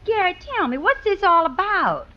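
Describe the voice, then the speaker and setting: A high, swooping-voiced young bride of the old west, anxious and melodramatic. She pleads with her husband in radio comedy style on a muffled old recording. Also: very animated, moderate pace. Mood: pleading. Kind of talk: 1950s radio comedy acting.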